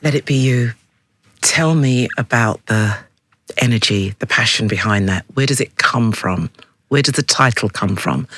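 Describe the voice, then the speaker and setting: A man's voice: mid-range voice, moderate pace, fairly bright tone, no room sound. A man hosting a radio interview in a studio, speaking in a direct, effusive, emphatic way. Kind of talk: broadcast interview chat.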